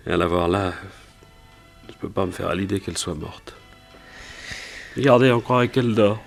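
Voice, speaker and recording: An actor playing an excitable, grieving fairground barker in a French radio detective serial, theatrical and halting. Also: male voice, low voice, gravelly, soft background music. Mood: sorrowful. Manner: theatrical, halting and exclamatory when upset.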